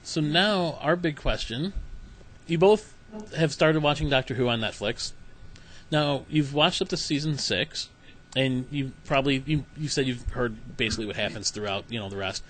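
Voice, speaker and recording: An easygoing man hosting a podcast interview who asks questions casually and laughs along often. His pitch is ordinary, with a faint background hum. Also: moderate pace, fairly bright tone, poor audio. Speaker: podcast host.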